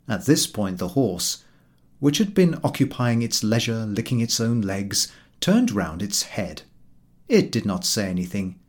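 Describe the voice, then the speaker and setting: A male audiobook narrator reads a comic literary tale. His medium-pitched voice moves up and down in a lively way, with a slightly rough, husky texture. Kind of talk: scripted narration of a humorous literary story.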